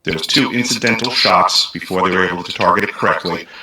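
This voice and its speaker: A man's voice: low-pitched, quick pace. A man giving a matter-of-fact, earnest account of his work, played as a clip. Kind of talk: recorded testimony. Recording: echoey room with faint noise.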